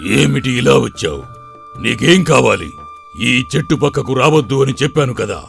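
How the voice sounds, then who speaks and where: Storybook villain: menacing demon, played by a man, gravelly delivery, club-wielding brute, faint music behind. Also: medium pitch, lively intonation, moderate pace, a bit bright. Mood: stern. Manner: angry, menacing. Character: fierce forest demon with a club, threatening. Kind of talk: storybook cartoon character voice.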